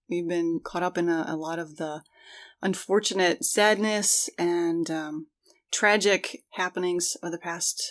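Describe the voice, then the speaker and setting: A woman co-hosting a conversational podcast, speaking in an earnest, reflective way. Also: on the low side. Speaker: podcast co-host.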